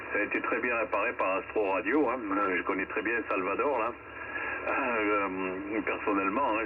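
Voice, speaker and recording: A man talks casually over amateur radio in a lowish voice. His delivery is conversational, with some hesitation. The sound is narrow and phone-like, with clear hiss and static around it. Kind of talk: casual ham radio contact.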